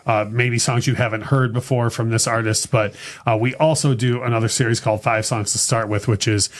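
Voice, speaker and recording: A man's voice: mid-range voice, fairly even intonation, brisk. A middle-aged man hosting a casual music podcast in a small studio, talking in a loose, rambling way with many fillers and restarts. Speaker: podcast host. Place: small studio room with purple lighting.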